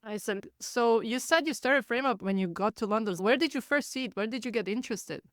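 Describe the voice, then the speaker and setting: A woman from Denmark hosting an interview podcast, asking friendly, measured questions. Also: fairly high pitch, no room sound, clean studio sound. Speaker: podcast host.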